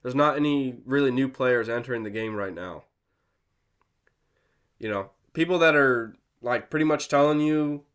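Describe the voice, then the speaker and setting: Congested male vlogger, casual and rambling, smooth resonant tone under the stuffiness, lots of "um" and "right?". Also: medium pitch, fairly bright tone. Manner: rambling, filler-heavy, self-deprecating. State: congested from a cold. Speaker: crypto channel host. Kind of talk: casual talking-to-camera market commentary.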